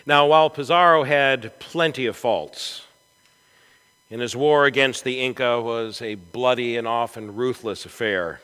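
A man preaching a storytelling sermon in a church, with a dramatic, deliberate delivery and emphatic swells. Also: medium pitch, fairly bright tone, clean tone. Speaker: pastor preaching. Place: large church sanctuary, from the chancel. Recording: echoey church hall with long reverb.

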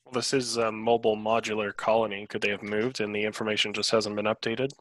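A man's voice: lowish voice, little pitch movement, quick pace, fairly bright tone, no echo. A man chatting casually as a player in a tabletop roleplaying game over a video call.

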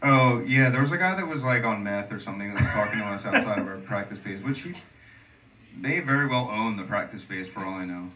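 Young male co-host, bright and loose, rambling live-stage storyteller; voice sits a bit back in the room. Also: fairly low pitch, expressive, fairly quick, far from the mic, low-quality recording. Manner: rambling storyteller, swings loud and soft. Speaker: podcast co-host. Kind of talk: live podcast banter on stage. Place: comedy club stage before an audience. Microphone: handheld mic.

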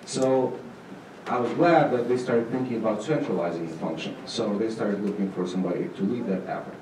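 A middle-aged man giving an informal conference presentation, halting and dryly humorous. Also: fairly low pitch. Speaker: conference presenter, state agency manager. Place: auditorium stage. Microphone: handheld microphone, recorded from far camera. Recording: echoey hall, distant, noisy room sound.

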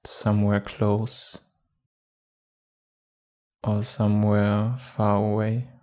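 A man guiding a meditation calmly and without hurry, leaving long pauses between short phrases. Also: on the low side. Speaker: meditation guide. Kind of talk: guided meditation.

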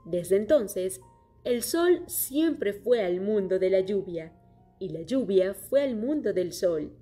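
A woman reading a children's story aloud in a clear, steady storytelling manner. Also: mid-range voice, slow pace. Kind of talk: scripted children's story narration. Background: soft music.

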